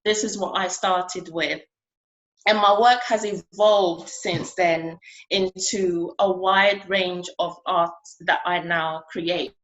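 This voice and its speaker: A woman from Ghana speaking at a conference about her art, in an earnest, conversational way with frequent pauses. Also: fairly low pitch, steady tone, a bit bright, muffled recording. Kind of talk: conference speech.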